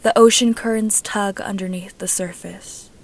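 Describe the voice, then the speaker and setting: A woman's voice: mid-range voice, fairly even intonation, moderate pace. A woman reading a scripted story aloud as an amateur narrator.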